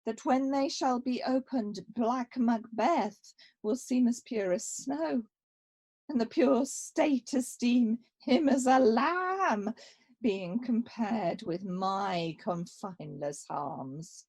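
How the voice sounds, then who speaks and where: A woman playing a grave, self-accusing young exiled prince in a Shakespearean radio play, delivering verse deliberately with weighty pauses. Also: on the high side, a little mellow. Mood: self-accusing, intense.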